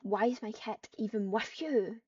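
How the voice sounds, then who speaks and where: A woman's voice: a little high, moderate pace, warm tone, husky. A woman casually talking and reading out lines while roleplaying in an online game. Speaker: gamer, video creator. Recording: muffled, distant microphone.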